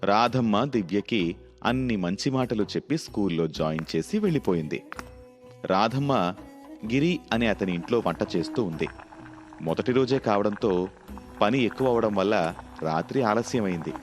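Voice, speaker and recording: Guy narrating a scripted story, lowish voice, soft music behind. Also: animated, normal speed, pauses often.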